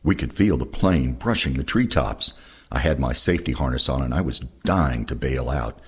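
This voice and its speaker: An older man with a gravelly, husky voice reading first-person war recollections aloud. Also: a bass voice, lively intonation, moderate pace. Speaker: reader of veterans' oral histories.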